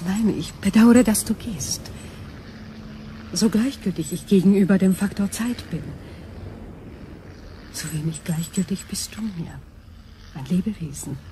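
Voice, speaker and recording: A woman playing a gentle, ancient, lonely alien crystal being in a sci-fi radio drama, speaking in slow, solemn, wistful tones. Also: medium pitch, a little hiss or hum, natural voice. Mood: sad, resigned.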